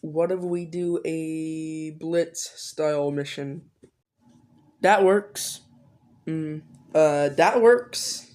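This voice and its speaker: Slow-paced voice in scripted dialogue. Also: medium pitch, steady tone, darkish tone, slight background noise.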